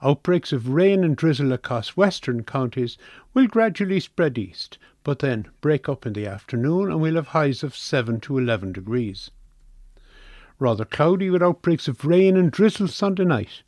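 A man's voice: a little high, expressive, normal speed, darkish tone, no room sound, clean studio sound. A man with an Irish accent reading a scripted weather forecast for radio.

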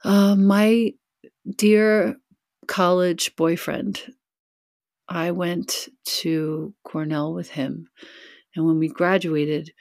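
A middle-aged woman from New York, a guest speaking softly and calmly into a headset. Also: fairly low pitch, no echo, clean studio sound. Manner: soft, calm and unhurried. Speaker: guest, yoga teacher. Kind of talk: casual conversation.

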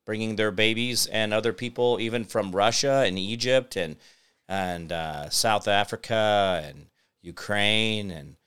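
An American man hosting a talk show with rambling, off-the-cuff political commentary in a halting, sardonic, digressive manner. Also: fairly low pitch, fairly bright tone, smooth. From United States.

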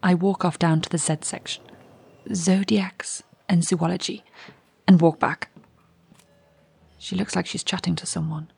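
An actress playing a restless, curious young woman in an audio drama monologue, confiding and wry, with a hushed, husky voice. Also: on the low side, steady tone, measured pace, long pauses, full character voice. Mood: uneasy, reflective.

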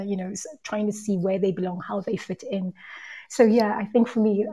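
Thoughtful woman with a slightly husky voice. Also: medium pitch, warm tone. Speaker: podcast host on a panel. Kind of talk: conversational panel talk.

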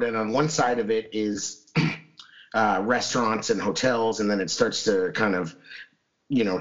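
A man with a hoarse, scratchy voice after losing it, co-hosting a casual chat show and rambling with many restarts. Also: lowish voice, a bit bright, dull audio. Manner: rambling, lots of fillers and restarts. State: hoarse, recovering lost voice. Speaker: podcast co-host.

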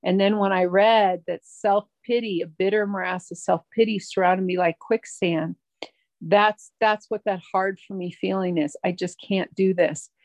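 A middle-aged woman sharing her recovery story at a twelve-step meeting, halting and reflective, with many pauses and restarts. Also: medium pitch, steady tone, a little mellow, no echo. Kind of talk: personal recovery share.

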